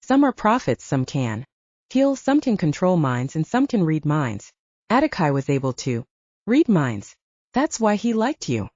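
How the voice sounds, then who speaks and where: A woman voicing a guarded, knowing young female vampire in an audiobook, soft-spoken and explanatory. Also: low voice, sing-song, a little slow, no echo, muffled recording, exaggerated voice. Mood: earnest, explaining. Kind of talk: audiobook character dialogue.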